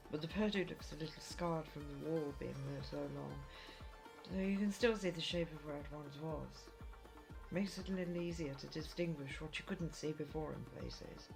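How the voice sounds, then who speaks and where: A man plays a kindly fairground local in a fantasy audio roleplay. His voice is fairly high for a man with a creaky, gravelly edge, easygoing and reassuring, with clear music playing behind him. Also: poor audio. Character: friendly, helpful local fairground folk of a fantasy world.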